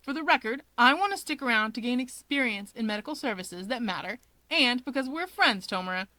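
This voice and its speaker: A woman's voice: on the high side, lively intonation, a little fast, sharp tone, no room sound. A woman voicing a shameless, teasing young man, a cocky younger brother in a fan story, talking emphatically. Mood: upbeat, sincere.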